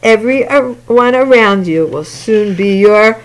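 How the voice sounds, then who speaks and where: A woman voicing a kind, gentle uncle who speaks in a warm, preachy way. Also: a little high, animated, slow, rough recording. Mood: kindly. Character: kind gentle uncle, middle-aged man.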